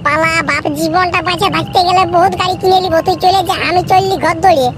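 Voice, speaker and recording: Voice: very high-pitched, steady tone, fast, heavy background noise. A performer voicing a whiny, cowardly, quarrelsome young village man in a comic cartoon, with a Purulia Bengali accent. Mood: panicked. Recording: loud music behind the voice.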